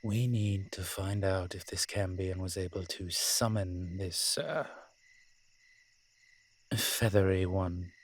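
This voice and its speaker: Man playing a husky, slow, low-voiced incubus demon. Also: no room sound, full character voice. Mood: wry. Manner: teasing, sardonic, unhurried with dramatic pauses. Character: wry, flirtatious, nervous male incubus demon. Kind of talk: roleplay audio drama addressed to the listener.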